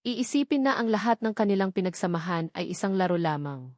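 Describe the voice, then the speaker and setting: A woman's voice: mid-range voice, lively intonation, fairly quick, a little mellow, no echo. Woman narrating romantic drama, very dry sound. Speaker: story narrator.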